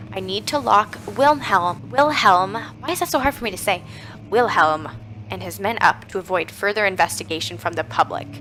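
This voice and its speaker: A young woman with a raspy voice from a cold, reading game notes aloud and reacting nervously as she plays a horror game on a headset mic. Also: medium pitch, bright, forceful tone, rough recording. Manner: reads notes aloud, then jumpy reactive chatter. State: getting over a cold. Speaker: gaming streamer. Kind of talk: horror game let's play commentary. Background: game music.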